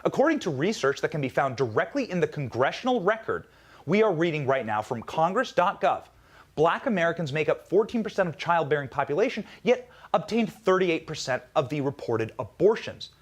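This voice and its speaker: Male, high and very lively pitch, emphatic political speechmaking with dramatic pauses. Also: breathy edge.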